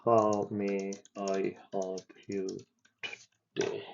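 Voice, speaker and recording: A man explaining and demoing his software project in a casual walkthrough, hesitating with ums and restarts as he goes. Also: on the low side, completely flat delivery, extremely slow, creaky, dull audio, a little hiss or hum. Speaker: developer giving a project demo. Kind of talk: casual screen-share demo walkthrough.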